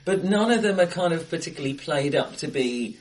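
A man co-hosting a film discussion in casual chat, hesitant and often rewording himself, recorded in a bright, echoey room. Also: a little high, expressive, brisk. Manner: hesitant, self-correcting, rambling. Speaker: film podcast co-host. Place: indoors in an acoustically bright room.

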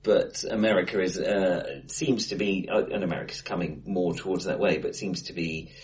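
A man co-hosting a casual film discussion, hesitant and self-correcting as he thinks aloud, recorded in an acoustically bright room. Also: sits low, steady tone, normal speed. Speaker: film podcast co-host. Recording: echoey bright room, face to face.

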